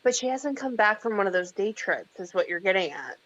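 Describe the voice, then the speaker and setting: Woman playing skeptical reluctant heroine, dry delivery, medium pitch, muffled home recording. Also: very animated, fairly quick, fairly bright tone. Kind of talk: table read of a film script.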